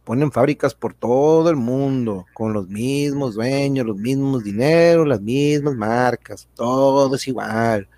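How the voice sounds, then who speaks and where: A man's voice: medium pitch, a little slow, few pauses, dark tone, no echo, lightly acted. An actor plays a folksy, indignant man explaining things in an educational radio drama. He, asks rhetorical questions, and sounds dry and close. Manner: colloquial, rhetorical questions, tag words.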